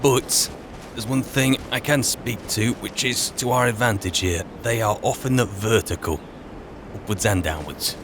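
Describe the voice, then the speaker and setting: Man, low voice, in-character game roleplay, wary adventurer, musing in short phrases, clear background noise. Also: unhurried. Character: Merlin, a wary, disciplined adventuring wizard.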